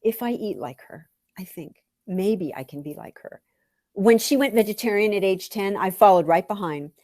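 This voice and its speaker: A woman reading her own first-person story aloud with wry, deliberate, dramatic phrasing. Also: medium pitch, expressive, a little mellow, no room sound, clean studio sound.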